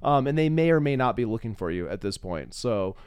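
A man's voice: mid-range voice, big pitch swings, a little fast, darkish tone, clear, resonant tone, no echo, studio quality. A man hosting a podcast interview in a casual, conversational way, with a wry, playful and self-mocking manner.

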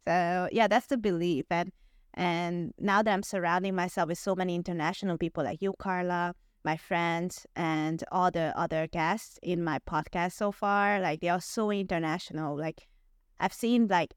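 A woman's voice: lowish voice, steady tone, moderate pace, clear, resonant tone, no room sound, clean studio sound. A woman in her twenties, a podcast host who grew up in Hungary, talking casually with lots of fillers and laughs. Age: 20s. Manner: chatty, laughs often, many fillers. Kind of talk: casual interview chat.

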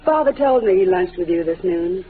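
A woman's voice: fairly low pitch, expressive, normal speed, a little hiss or hum, lightly acted. An actress in a 1940s radio drama playing a composed, defensive young woman suspected of a crime. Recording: tinny old radio broadcast.

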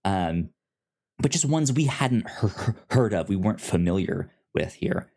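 A man hosting a casual review podcast, informal and halting, stammering over the starts of words. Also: deep voice, lively intonation, gravelly, darkish tone, breathy edge. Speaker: podcast host.

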